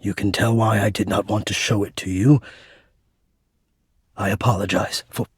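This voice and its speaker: A man's voice: lowish voice, level tone, normal speed, husky, no room sound, clean studio sound. An actor voicing a lovesick, anxious male spirit of the air vents in a video game, with intense, theatrical and rather formal delivery. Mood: anxious, apologetic. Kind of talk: dramatic romantic video game voice acting.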